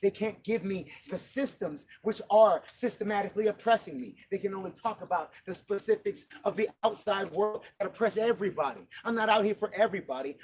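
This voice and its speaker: A man's voice: high voice, a little mellow, a little hiss or hum. A man giving an impassioned, profane speech to fellow marchers outdoors at night, hammering his points with repeated emphasis. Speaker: protest marcher. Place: outdoors at a night street protest.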